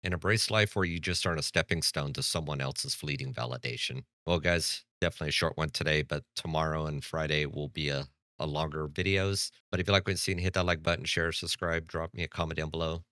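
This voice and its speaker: A man's voice: very deep voice, fairly even intonation, fast talker, bright, forceful tone, no echo, clean studio sound. A man hosting a reaction commentary, blunt and lecturing with a sarcastic edge. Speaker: commentary channel host.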